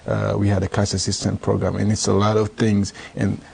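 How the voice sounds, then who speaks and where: A man co-hosting a discussion show, talking in a halting, conversational way with many restarts. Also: lowish voice, completely flat delivery, gravelly, darkish tone, slightly rough. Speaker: co-host.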